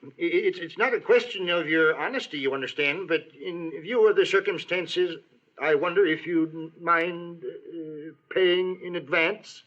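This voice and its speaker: A man's voice: on the high side, unhurried, a bit bright, muffled recording, a little hiss or hum. An actor playing a prim, timid male hotel clerk who stammers deferentially in an old-time radio western. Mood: nervous, apologetic.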